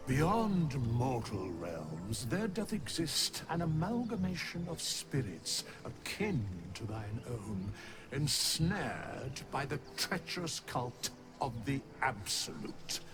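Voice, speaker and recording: An actor playing an ancient, solemn undead skeleton in a video game, speaking archaic, formal English with a breathy, measured delivery. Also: male voice, medium pitch, sing-song, over music. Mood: solemn, grave.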